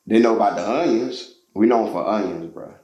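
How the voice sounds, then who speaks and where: A man's voice: fairly low pitch, moderate pace, a little mellow, echoey room. A man from Georgia, a musician telling his story as a guest in a casual interview, loose and slangy with lots of fillers.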